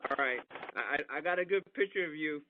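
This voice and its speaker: A middle-aged man speaks quickly and casually, like a father who keeps pressing with questions. His voice is a bit high for a man. It comes through a narrow intercom connection with clear noise. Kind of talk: casual conversation. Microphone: doorbell intercom call. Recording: phone-like doorbell intercom audio, clear background noise.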